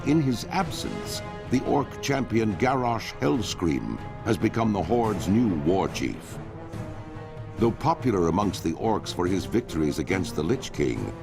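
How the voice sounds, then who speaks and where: A man's voice: fairly low pitch, creaky, exaggerated voice. A man playing a grave, commanding storyteller, narrating a fantasy game cutscene in a portentous, theatrical way. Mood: stern, portentous. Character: solemn, commanding male storyteller of an epic fantasy war saga.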